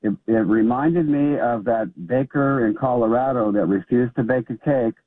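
A male caller talks casually over a narrow, lo-fi telephone line. His mid-pitched voice is dry and slightly hesitant, with pauses as he gets to his point. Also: no room sound. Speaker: radio show caller. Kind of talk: casual phone-in conversation. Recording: dull, band-limited phone line.

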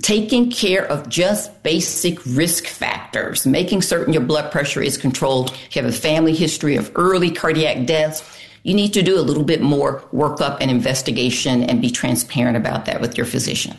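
Woman cardiology guest, notably low voice, measured explanations with small pauses. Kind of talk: explanatory interview answers.

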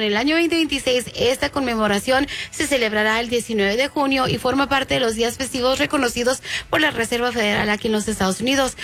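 Chatty high-voiced woman in her sixties. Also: a bit bright. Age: 60s. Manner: chatty, mixes in English words. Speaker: radio co-host. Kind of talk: casual radio chat.

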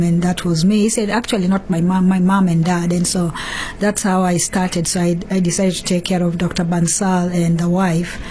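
A woman's voice: lowish voice, mellow. A woman with a Kenyan accent, telling her personal story as an interview guest. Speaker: interview guest, home care business owner.

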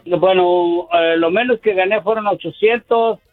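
Older man on a tinny phone line. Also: sits high. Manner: hesitant, halting, many fillers. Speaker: caller. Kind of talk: phone-in radio call. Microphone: telephone.

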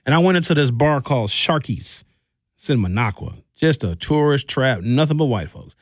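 A middle-aged American man hosting a radio talk show in an informal, opinionated storytelling style, colloquial and animated, on a close studio mic. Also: medium pitch, normal speed, no room sound. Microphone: close studio microphone.

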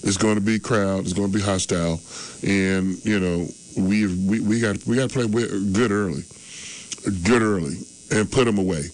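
A man's voice: fairly low pitch, steady tone, normal speed, rough recording. A man in his early sixties grading his team's game in casual sports talk, rambling and repeating himself. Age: 60s. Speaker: sports show regular.

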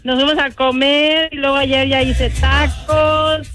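A high female voice with a Mexican accent comes through on a radio call-in, music clearly audible behind. She talks casually with the hosts. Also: flat delivery, slow pace, fairly bright tone. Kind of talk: casual chat.

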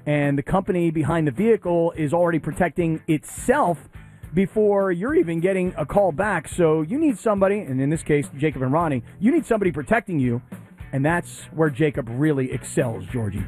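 A man's voice: fairly high pitch, expressive, brisk, a little mellow, soft background music. A man co-hosting a sports radio show, blunt and emphatic, with crude asides. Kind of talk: morning sports radio debate.

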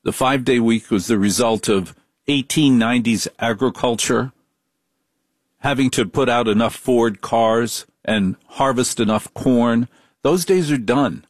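A man's voice: mid-range voice, measured pace, no room sound. An older man hosting a late-night radio talk show, bantering in a wry, joking way.

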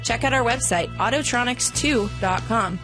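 A woman's voice: a little high, normal speed, music in the background. Upbeat woman reading radio ad. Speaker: radio ad announcer. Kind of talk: scripted commercial read.

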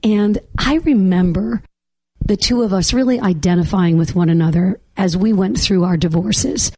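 A middle-aged woman, hoarse from laryngitis, hosting an interview podcast. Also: medium pitch, moderate pace, dark tone, no room sound. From Boston area. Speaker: podcast host.

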